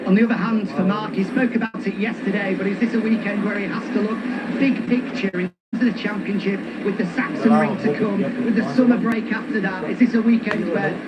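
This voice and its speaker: Male pit lane reporter, high voice, live broadcast delivery amid loud trackside noise. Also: fairly even intonation, brisk. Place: outdoors on a race grid.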